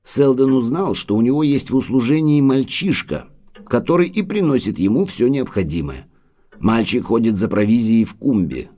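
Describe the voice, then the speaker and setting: An actor playing a deferential, uneasy manor butler in an audio drama, grave and full of foreboding. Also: male voice, medium pitch, animated, moderate pace, dark tone, natural voice. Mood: confiding, cautious.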